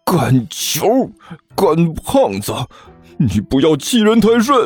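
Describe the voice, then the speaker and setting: Man acting a high-pitched, flustered, hot-tempered student. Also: wildly swooping pitch, slow pace, breathy edge, soft background music, heavily acted. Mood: seething. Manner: stammering, whining, shrill outbursts. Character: exasperated, hot-tempered young male student, easily flustered. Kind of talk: audiobook character acting.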